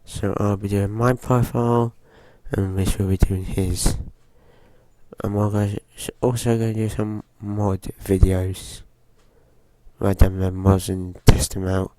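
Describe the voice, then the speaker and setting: Slow, flat-voiced man. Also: fairly low pitch. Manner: halting, broken into short phrases. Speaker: gaming channel host. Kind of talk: casual gameplay commentary.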